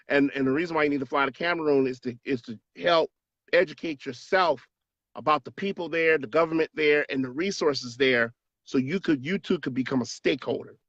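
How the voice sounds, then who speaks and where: A man's voice: on the high side, fairly quick, a bit bright, no echo, dull audio. A man answering interview questions as a business association president, earnest and persuasive, repeating words as he stresses a point.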